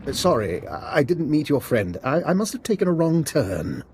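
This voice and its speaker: A courteous male doctor in a video game. His speech is halting and apologetic in manner, with very lively swings of pitch and some noise behind it. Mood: apologetic, polite. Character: polite, hesitant, slippery male scientist doctor.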